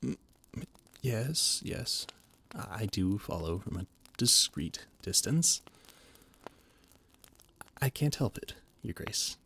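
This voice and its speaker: A man's voice: on the low side, big pitch swings, takes it slowly, pauses often, fairly bright tone, slight background noise. A man voice-acting a flustered, formal royal adviser in an audio roleplay, often stammering. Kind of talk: audio roleplay, scripted monologue.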